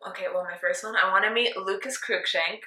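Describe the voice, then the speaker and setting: A woman's voice: mid-range voice, steady tone, brisk, pushed and bright. A young woman in her twenties chatting casually and giggling while she has her makeup done at home. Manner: giggly, rambling, fond of laughing. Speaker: co-host getting makeup done. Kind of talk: casual chat. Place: small indoor room at home. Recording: echoey room.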